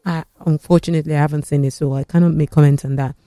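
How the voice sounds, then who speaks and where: A man hosts a casual studio talk show, reading out viewer messages and firing teasing questions. His voice sits somewhat high, and the room sound is dry and close. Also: very fast, no echo. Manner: lively, teasing, animated questioning.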